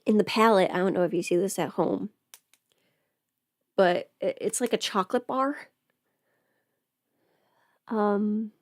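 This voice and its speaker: A woman playing a chatty, playful streamer who rambles casually through her makeup routine, often slipping into hushed asides. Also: medium pitch, dry recording, clean studio sound, natural voice. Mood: curious, chatty. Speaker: livestreamer doing a get-ready-with-me makeup stream. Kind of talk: casual livestream chat, scripted audio roleplay.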